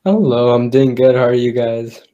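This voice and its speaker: A young man from India, a university student, chatting in a relaxed way with easy laughs on a video call. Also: medium pitch, normal speed, dull audio. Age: young adult. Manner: relaxed, laughs easily, loose phrasing. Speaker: panel guest, student. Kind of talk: casual chat.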